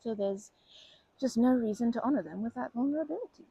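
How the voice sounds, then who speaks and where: Woman acting a creaky, husky kindly grandma. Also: on the high side, fast talker, highs cut off, exaggerated voice. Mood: soothing reassurance. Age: older. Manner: gentle, hesitant, soothing, uses pet names. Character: kindly, chatty, protective grandma comforting a crying stranger. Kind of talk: ASMR comfort roleplay.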